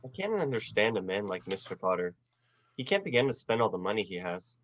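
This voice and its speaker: A man playing an earnest, restless young man with big dreams in a radio play, speaking haltingly. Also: fairly low pitch, very animated, slight background noise. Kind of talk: 1940s-style radio play acting.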